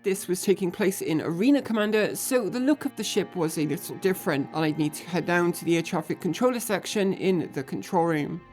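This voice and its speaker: A woman with a fairly low voice hosts a gaming video. She narrates her experience and joins in roleplay procedures. Light music plays behind her. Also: lively intonation, brisk, hardly any pauses. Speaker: video host and trainee air traffic controller in a game org. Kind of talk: scripted voice-over narration with some in-game roleplay talk.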